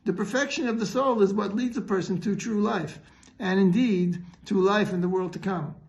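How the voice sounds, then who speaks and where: Male rabbi, high creaky voice, earnest halting teacher delivering an informal Torah lesson. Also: darkish tone. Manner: earnest, didactic, halting mid-sentence. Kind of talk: religious teaching, unscripted explanatory talk.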